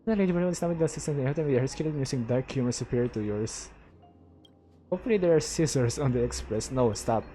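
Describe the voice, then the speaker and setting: A man's voice: medium pitch, quick pace, warm tone. A man with a Filipino accent streams a video game. He talks casually and off the cuff, sometimes reading dialogue aloud. Faint game music sits behind his voice. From Philippines. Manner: code-switches between English and Tagalog.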